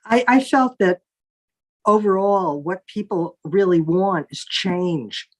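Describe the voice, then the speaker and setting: A woman's voice: medium pitch, takes it slowly. A woman therapist sharing her thoughts in a panel discussion, thoughtful and hesitant, often restarting.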